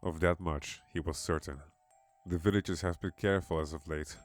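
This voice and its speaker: A man narrating a scripted horror story in a measured, dramatic manner. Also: low-pitched, fairly even intonation. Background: background music.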